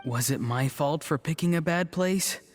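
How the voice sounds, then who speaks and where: A man's voice: a little high, moderate pace, faint music behind, lightly acted. A man playing an earnest, good-natured young tribesman in a game cutscene, mild and wistful in manner. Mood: apologetic. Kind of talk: animated video game cutscene acting.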